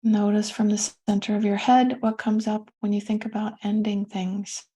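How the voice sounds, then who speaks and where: A woman leading a guided meditation as a teacher, speaking gently and soothingly with pauses between phrases. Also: mid-range voice, little pitch movement.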